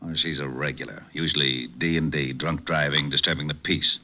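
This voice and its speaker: An actor playing a gruff, exasperated police lieutenant in old-time radio drama style. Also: male voice, very deep voice, fairly even intonation, brisk, lo-fi sound. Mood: businesslike. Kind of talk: 1940s radio drama acting.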